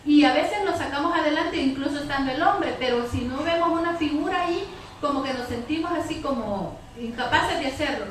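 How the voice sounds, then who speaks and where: A woman's voice: sits high, a little fast. A woman activist speaking on a public debate panel, conversational and often ending phrases with tag questions. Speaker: women's organization activist, panelist. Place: public debate hall with audience. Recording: very echoey hall, distant mic, faint noise.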